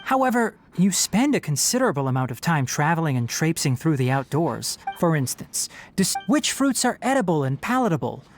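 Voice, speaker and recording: Fox-eared young game ranger, low register, very animated intonation, bookish, articulate, wry and teasing. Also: female voice, brisk, hardly any pauses, slight background noise. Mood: lecturing, teasing. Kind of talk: scripted game character voice lines.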